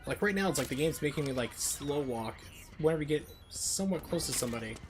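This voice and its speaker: A man's voice: fairly high pitch, lively intonation, quick pace, noisy background. A man streaming a video game with casual, chatty live commentary, explaining mechanics in asides.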